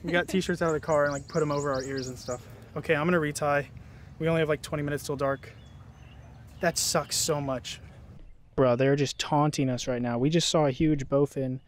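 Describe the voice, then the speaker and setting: A young man vlogging while fishing outdoors by a river, talking excitedly to viewers on an action camera. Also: on the high side, brisk, a little hiss or hum. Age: young adult. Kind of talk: casual outdoor vlog.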